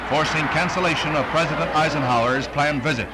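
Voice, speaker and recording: A man's voice: a little high, a little fast, very noisy. An American man narrating a scripted 1960s newsreel in a dramatic, authoritative announcer's delivery, on a vintage soundtrack.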